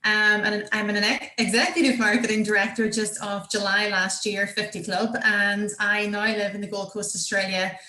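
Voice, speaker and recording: A woman's voice: mid-range voice, level tone, normal speed, few pauses, pushed and bright, reverberant, rough recording. An Irish woman from Donegal giving an enthusiastic, upbeat motivational training talk on a group call. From Ireland (Donegal). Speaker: marketing director giving a team training.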